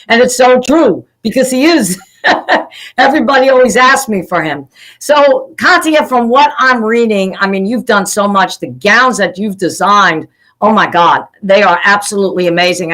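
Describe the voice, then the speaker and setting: A woman's voice: medium pitch, fairly bright tone, poor audio. A middle-aged woman who runs a pageant, hosting an interview in a chatty way and praising her guest effusively. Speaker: pageant CEO, interview host. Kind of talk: casual interview talk.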